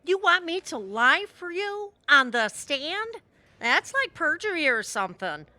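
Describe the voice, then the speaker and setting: Bright, lively, apologetic suburban mom, acted by a woman. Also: high voice, unhurried, no room sound, full character voice. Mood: defensive. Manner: defensive, earnest. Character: tipsy-night-out suburban mom, apologetic and loyal friend. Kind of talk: audio theatre comedy acting.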